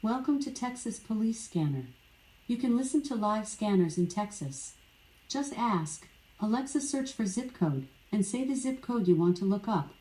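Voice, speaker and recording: A synthetic woman's voice, evenly measured, reading scripted voice-assistant prompts through a smart speaker. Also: mid-range voice, animated, mellow. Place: indoor room, from a speaker on the floor. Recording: smart speaker playing in a roomy space.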